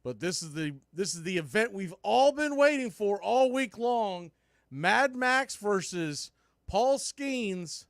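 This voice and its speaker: A man's voice: high-pitched, expressive, takes it slowly, no room sound, clean studio sound. A man hosting a live baseball radio watch party, hyping the game and calling out chat members by name. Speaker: radio play-by-play host.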